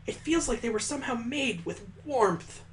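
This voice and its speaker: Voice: medium pitch, animated, moderate pace, pitched above the performer's own voice, lightly acted. A performer voicing a refined, formal young lady in a visual novel, polite and proper, with ornate wording. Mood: genteel.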